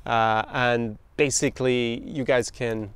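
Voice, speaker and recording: Smooth-voiced Irish man telling a story. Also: mid-range voice, steady tone, takes it slowly, a bit bright. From Ireland. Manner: anecdotal, quotes others in voices, chuckles. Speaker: podcast host. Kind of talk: storytelling podcast monologue.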